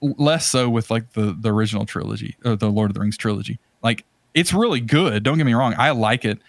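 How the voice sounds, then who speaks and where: A man hosting a podcast in casual conversation, talking in a halting, rambling way as he searches for words. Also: on the low side, no echo. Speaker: podcast host.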